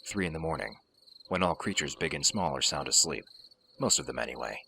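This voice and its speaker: A man playing a gruff, sardonic veteran soldier in an audio drama, with a gravelly voice and terse, dry delivery. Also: very deep voice, animated, brisk, pushed and bright, slight background noise, full character voice.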